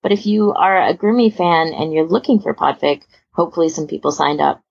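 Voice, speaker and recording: Woman podcasting, casual chat, croaky husky voice, bit lo-fi. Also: deep voice, fairly quick. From United Kingdom. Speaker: podcast host.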